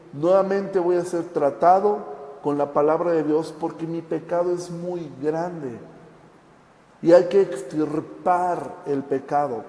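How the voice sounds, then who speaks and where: A man's voice: high-pitched, dark tone. A man preaching a sermon, teaching with rhetorical questions and acting out the complaints of his listeners. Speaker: pastor. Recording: echoey hall with long reverb.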